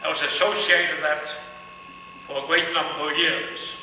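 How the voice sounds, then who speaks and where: Older man from Denmark, fairly high voice, distant mic, noisy hall echo. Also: level tone, pushed and bright. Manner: unhurried, repeats phrases while searching. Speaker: guest speaker at a ceremony. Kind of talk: ceremonial speech to an audience. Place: large hall. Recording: echoey hall from far off, noisy.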